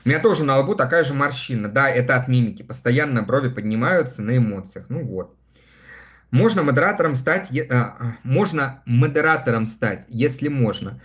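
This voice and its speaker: A man in his thirties hosts a relaxed livestream, reading viewer messages aloud and answering them in a chatty way. His pitch sits in a middle register but swings widely and expressively, and he laughs often between comments. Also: fairly quick. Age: 30s. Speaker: live streamer reading viewer chat. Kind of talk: casual livestream chat.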